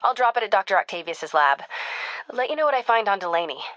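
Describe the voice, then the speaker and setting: An actress with an American accent playing a caring, determined young reporter in a video game, speaking in an earnest, conversational, confiding way. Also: female voice, medium pitch, animated, quick pace, noisy background, lightly acted. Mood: supportive. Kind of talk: naturalistic video game acting.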